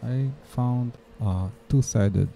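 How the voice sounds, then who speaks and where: Slow-talking man with gravelly creak. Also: mid-range voice, mellow, a little hiss or hum. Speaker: DIY tutorial narrator. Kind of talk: scripted instructional voice-over.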